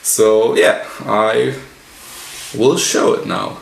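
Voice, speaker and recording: A man giving an unscripted technical walkthrough as a hobbyist presenter, halting and pausing mid-sentence. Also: fairly low pitch, fairly even intonation, creaky. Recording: roomy echo, light background noise.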